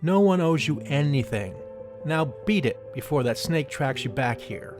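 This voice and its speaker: Gruff cocky field mouse, teasing drawl, very animated intonation, audio drama, music behind. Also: male voice, a little high, unhurried. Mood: brusque.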